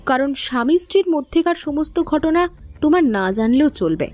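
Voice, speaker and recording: An actress playing a defiant, scheming sister-in-law in an audio drama, taunting and threatening. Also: female voice, high voice, lively intonation, moderate pace, a little mellow. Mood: bitter, taunting. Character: defiant, cold, scheming married sister-in-law standing up for herself. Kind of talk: audio story drama acting.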